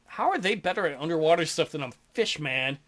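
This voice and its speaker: A man's voice: a little high, expressive, brisk, a bit bright. A man hosting a let's play, reading a visual novel aloud and cracking crude, offhand jokes. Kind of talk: casual gameplay commentary.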